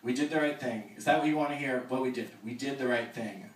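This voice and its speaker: A man's voice: mid-range voice, normal speed, natural voice. A man reading the lines of a guarded teenage boy who is defensive but grieving, pleading with rising urgency. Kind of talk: quoted dialogue in a reading of a novel. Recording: echoey room with a distant mic.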